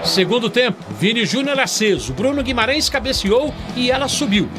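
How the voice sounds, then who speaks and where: Lively man narrating a sports report. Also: very high voice, moderate pace. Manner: lively, emphatic delivery. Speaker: news reporter. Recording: voice-over with loud music bed.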